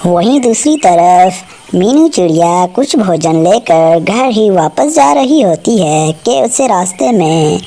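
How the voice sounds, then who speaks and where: Slow, steady woman narrating a cartoon. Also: lowish voice, a little hiss or hum. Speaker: storyteller narrator.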